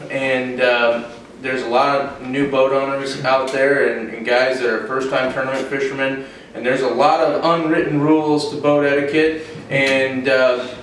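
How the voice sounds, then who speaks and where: A male host asks questions casually, circling and restarting as he goes. The sound is distant and echoey, with a faint hum of background noise. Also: mid-range voice. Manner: rambling, restarts his questions. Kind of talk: casual roundtable discussion. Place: dining room around a table. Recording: echoey room from far off.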